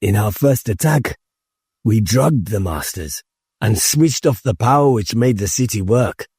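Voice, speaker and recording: A grave rebel commander , acted by a male narrator, delivers formal, resolute oratory in an audiobook. The voice is mellow and husky, and the delivery deliberate. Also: fairly low pitch, animated, no room sound, clean studio sound, exaggerated voice.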